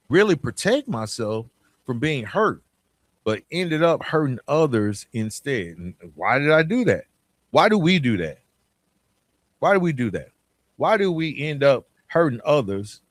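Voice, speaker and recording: A man's voice: mid-range voice, sing-song, measured pace, pauses often, dry recording. Atlanta man talking straight, dry close sound. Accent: Southern US, Atlanta. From Atlanta, southern United States. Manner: conversational and emphatic, repeating phrases and posing rhetorical questions. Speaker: podcast host. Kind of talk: informal motivational monologue.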